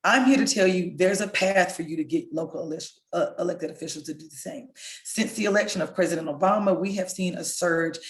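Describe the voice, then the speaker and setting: A hoarse woman, a local elected official, giving an impassioned, rallying speech over a video call. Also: fairly low pitch, brisk. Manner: impassioned, rallying, direct appeals to listeners. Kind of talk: rousing speech. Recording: echoey room sound.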